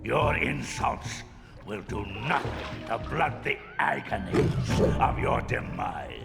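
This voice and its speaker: A zealous monster guard from a video game, full of menace. The voice is a slow, extremely creaky growl, delivered theatrically over loud music. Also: male voice, mid-range voice, lively intonation, exaggerated voice. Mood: threatening. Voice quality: gravelly, growling.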